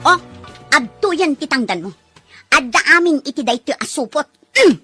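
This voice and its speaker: A woman playing a sharp-tongued, haughty rich employer in an audio drama, talking down in commanding tones. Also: high voice, lively intonation, moderate pace, music in the background. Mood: contemptuous. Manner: scolding, commanding.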